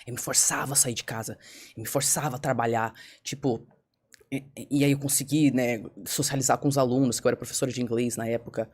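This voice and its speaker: Woman, podcast guest. Quick, candid storyteller who acts out her own quotes. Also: a bass voice. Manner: fast, animated, acts out her own past words.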